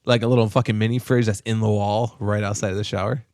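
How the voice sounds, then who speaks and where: A man's voice: lowish voice, flat delivery, quick pace, a little mellow, no room sound, studio quality. A man co-hosting a podcast, chatting enthusiastically and profanely with plenty of fillers. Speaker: podcast co-host. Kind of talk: casual chat.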